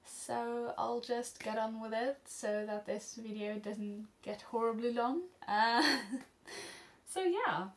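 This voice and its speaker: A woman's voice: a little high, slow. A Norwegian teenage girl with a Norwegian accent, answering viewer questions in a chatty, rambling home vlog, filmed close on a camera mic. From Norway. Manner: rambling and chatty, many ums and restarts, laughs often. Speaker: studyblr vlogger answering questions. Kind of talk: casual Q&A vlog.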